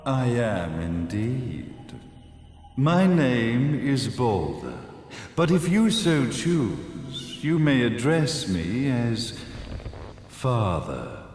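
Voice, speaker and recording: A man's voice: medium pitch, sing-song, a little mellow. An actor playing a grandiose, ancient villainous father in a video game cutscene, with a formal, theatrical delivery. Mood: silkily welcoming. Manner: slow, theatrical, pompous, formal. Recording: echoey hall reverb, music underneath.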